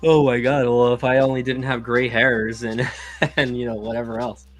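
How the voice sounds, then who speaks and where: Co-host, man, late 30s, mid-pitched, easygoing rambling, faint music underneath. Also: normal speed. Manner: relaxed, lots of fillers, laughs often. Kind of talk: casual podcast chat.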